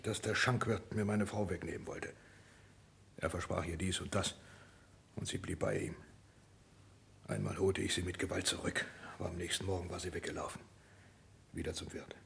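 An actor in a 1960s German radio drama playing a desperate peasant prisoner who pleads his innocence in an agitated, halting way. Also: low-pitched, steady tone, creaky, fairly bright tone, slight background noise. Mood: aggrieved, anxious. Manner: agitated, halting, dropping into whispers.

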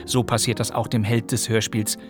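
A man's voice: lowish voice, little pitch movement, moderate pace, faint music behind. A man presenting as a podcast host, introducing a radio play from a script with articulate, showman-like phrasing.

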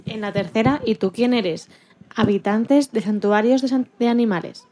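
A woman's voice: on the high side, normal speed, darkish tone. A woman co-presenting a radio show and interviewing from prepared questions.

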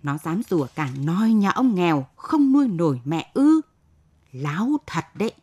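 A woman's voice: on the low side, very animated, moderate pace, warm tone, breathy edge. Woman voicing an indignant, blustering village man. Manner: blustering, scornful, bossy. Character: indignant hot-tempered village man, eldest son.

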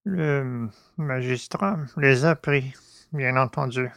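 Man acting old male servant, gruff and superstitious, creaky voice, rustic exclamations, dry sound, audiobook drama. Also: medium pitch, slow, fairly bright tone, no echo. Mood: wary, uneasy. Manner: grumbling, rustic exclamatory talk.

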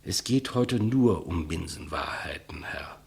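An actor playing a humble, gentle executioner in a 1950s German radio play, speaking with hushed reverence in a husky, breathy voice. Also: male voice, low voice, expressive, full character voice. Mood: meek, hushed. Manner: slow, reverent, deferential, hushed. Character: humble, gentle executioner, calm servant of the rulers.

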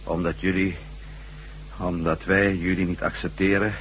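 Deep-voiced, subdued captive ex-astronaut. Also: male voice, measured pace. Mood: subdued, matter-of-fact. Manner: flat, compliant, terse answers. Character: captured male ex-astronaut, subdued, obediently answering. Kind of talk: Dutch radio drama acting. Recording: noisy radio recording with hum.

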